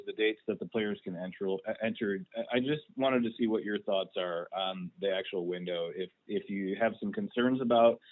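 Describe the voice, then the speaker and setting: A man working as a sports reporter, asking questions haltingly at a press teleconference and rewording as he goes. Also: fairly low pitch, phone-quality sound. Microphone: teleconference phone line. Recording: thin phone conference line.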